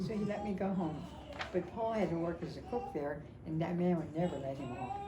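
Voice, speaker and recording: Older woman storytelling, echoey noisy room. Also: fairly low pitch. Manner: halting, repeats phrases, chatty. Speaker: storyteller at a family memorial. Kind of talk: casual personal storytelling to a gathering. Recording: echoey room, clear background noise.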